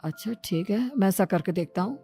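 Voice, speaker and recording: A woman's voice: medium pitch, fairly quick, mellow, slightly rough, soft background music, voice pushed down. A woman voicing a puzzled, admiring young husband in a story, casual and full of questions. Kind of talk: acted moral-story dialogue.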